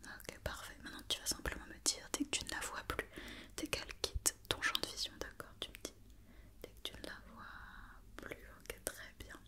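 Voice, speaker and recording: Whispering ASMR woman. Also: moderate pace. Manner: soft, repetitive, reassuring instructions. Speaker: ASMR roleplayer playing an occupational doctor.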